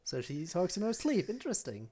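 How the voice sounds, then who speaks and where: A woman's voice: lowish voice, very animated, fairly quick, dark tone, soft background music. A woman giving casual, wry commentary as she plays through a game. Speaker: game streamer.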